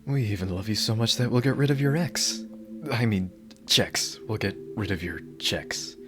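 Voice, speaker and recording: A man's voice: lowish voice, moderate pace, lightly acted. A man playing a devoted, dorky yandere bodyguard in an ASMR roleplay, earnest and rambling, awkwardly correcting his own slips. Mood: flustered, devoted. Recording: loud music behind the voice. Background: music.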